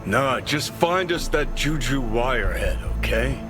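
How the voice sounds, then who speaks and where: An actor playing a cynical, abrasive rebel in a video game, sardonic, profane and sneering. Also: male voice, medium pitch, expressive, a little slow, music in the background, close to an everyday voice. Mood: insistent. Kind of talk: cinematic video game acting.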